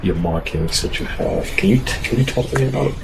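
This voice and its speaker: A man's voice: mid-range voice, expressive, fast, vocal fry, reverberant, background noise. A man hosting a travel vlog, chatting with guests in an upbeat, encouraging way. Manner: upbeat, encouraging, effusive praise. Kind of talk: casual travel interview.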